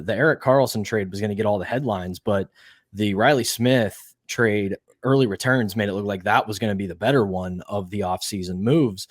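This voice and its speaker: A low-voiced man hosts a hockey podcast in a measured, stats-driven way. His voice sounds dry and close, with no room around it. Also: no room sound. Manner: measured, stats-driven, asks co-host questions. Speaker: podcast host. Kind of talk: casual sports podcast talk.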